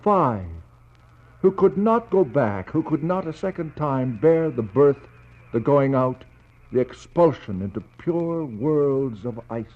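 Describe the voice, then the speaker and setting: An actor playing a somber explorer who searches for a lost expedition, speaking measured, solemn, incantatory poetry in a 1960s radio drama. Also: male voice, mid-range voice, animated. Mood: solemn intensity. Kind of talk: 1960s radio drama acting, poetic narration. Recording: muffled 1960s radio recording.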